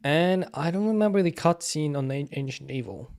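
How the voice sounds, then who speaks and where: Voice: mid-range voice, expressive, moderate pace, a little mellow. A streamer giving casual, off-the-cuff live commentary on game cutscenes.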